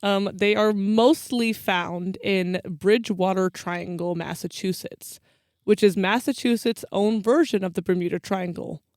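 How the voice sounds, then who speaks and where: A woman's voice: mid-range voice, measured pace, a little mellow, no room sound, clean studio sound. A woman hosting a folklore podcast in a casual, chatty style from a small recording closet. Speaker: podcast host. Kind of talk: casual chat about folklore.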